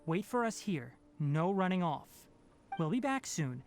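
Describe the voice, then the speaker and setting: Lively-pitched young scholarly forest ranger. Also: male voice, soft background music, exaggerated voice. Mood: firm, practical. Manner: measured, precise, articulate, matter-of-fact. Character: young fox-eared forest ranger, calm, pragmatic, scholarly mentor. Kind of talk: video game voice acting.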